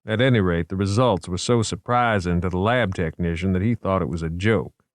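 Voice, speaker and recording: A man voicing a genteel, erudite male investigator in a measured, formal, wry manner, in an audiobook. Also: low voice, no room sound, clean studio sound, lightly acted. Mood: calm, wry.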